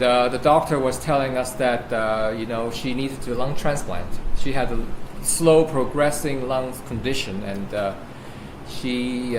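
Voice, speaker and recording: Echoey man. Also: mid-range voice. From Hong Kong. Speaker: guest speaker being interviewed. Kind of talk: informal interview answers and personal account. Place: meeting room with an audience. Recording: echoey room with clear background noise.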